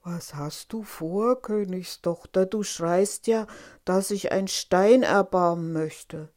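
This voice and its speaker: Woman doing a pushy talking frog guy from a fairy tale, slow n deliberate. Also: on the low side, mellow. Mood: coaxing, bargaining. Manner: deliberate, insistent. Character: male talking frog, insistent, bargaining storybook creature.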